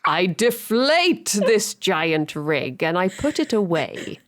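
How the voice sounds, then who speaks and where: Woman podcast host, lively sing-song read, giggly, reading a dream diary to a guest. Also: fairly low pitch, slow. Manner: giggly, reads with frequent laughter.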